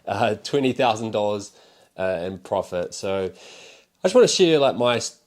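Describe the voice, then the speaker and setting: A man's voice: on the low side, lively intonation, measured pace, a little mellow. A young man with a New Zealand accent, talking casually to camera about selling cards, rambling and candid with fillers and the odd swear. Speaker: card seller and content creator.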